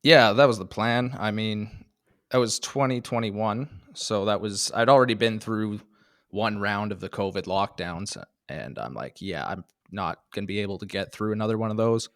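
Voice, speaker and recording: A man's voice: fairly low pitch, moderate pace, a bit bright, no room sound, clean studio sound. A young adult man from Canada with a Canadian accent, chatting casually as a comedian guest on a podcast, joking and laughing often between filler words. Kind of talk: casual chat.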